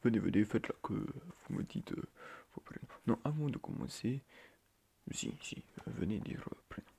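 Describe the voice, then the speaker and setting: A man playing a fussy, flustered schoolteacher in a soft-spoken ASMR roleplay, hesitant, breathy and stiffly formal, often muttering near a whisper. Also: lowish voice, animated, normal speed, a little hiss or hum. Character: fussy, flustered male schoolteacher on first day.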